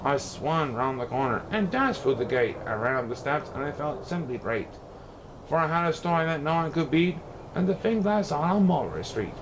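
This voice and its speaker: A man reading a rhyming children's storybook aloud in a theatrical, expressive way. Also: fairly high pitch, moderate pace, noisy background. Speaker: storybook reader.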